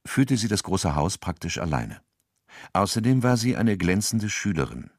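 A man narrating a radio crime drama from a script in a measured, sober, factual way. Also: low-pitched, no room sound, studio quality. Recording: faint music behind.

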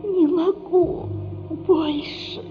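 An actress playing a small, frightened but brave and tender-hearted boy in a radio play, breathy and effortful, talking to himself. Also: extremely high pitch, steady tone, extremely slow, over music, exaggerated voice. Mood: exhausted, despairing. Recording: muffled sound with faint background noise.

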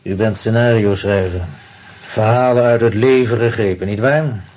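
An actor playing a brisk, sardonic police commissioner in a radio play, questioning in a curt, businesslike way. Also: male voice, lowish voice, a little mellow, natural voice.

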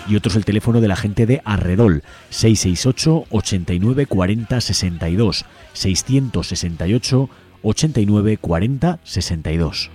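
A man's voice: on the low side, normal speed, over loud music. A man with an accent from Spain, reading a scripted announcement as host of a community radio programme in a studio. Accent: Spanish from Spain.

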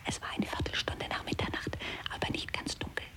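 An actress whispering a hunting tale in a radio play, set back from the microphone. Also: female voice, brisk, close to an everyday voice. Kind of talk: whispered recitation.

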